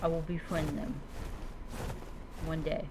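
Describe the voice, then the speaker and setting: A woman's voice: deep voice, little pitch movement, takes it slowly, music in the background, poor audio. A woman doing in-character commentary as she plays a roleplay adventure game, musing dramatically.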